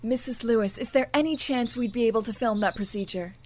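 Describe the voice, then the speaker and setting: An eager news reporter played by a woman in an audio drama. She speaks in a fairly high voice, polite but pressing. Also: a little fast, a little mellow, natural voice.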